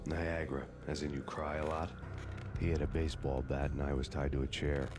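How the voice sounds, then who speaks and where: A bottomless, flat-toned male voice narrates as a bitter, world-weary cop in a noir game. He is brooding and sardonic, every line deadpan, with loud music playing underneath. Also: rumbling low, brisk. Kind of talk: hardboiled noir voice-over narration.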